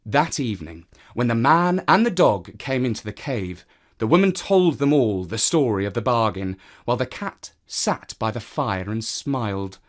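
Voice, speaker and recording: Animated storyteller with a very lively pitch. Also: moderate pace. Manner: theatrical, addressing the listener. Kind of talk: expressive children's storybook narration.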